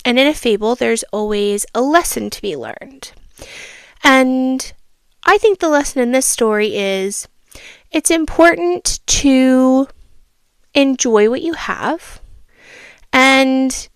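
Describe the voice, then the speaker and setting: A woman reading a children's storybook aloud, expressive and teacherly, with asides and questions for the listener. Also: sits high, takes it slowly, long pauses, clear, resonant tone, no echo.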